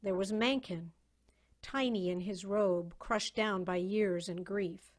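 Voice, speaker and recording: A woman narrating a story in a solemn, measured, theatrical way, as a dramatic reading over a video call. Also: mid-range voice.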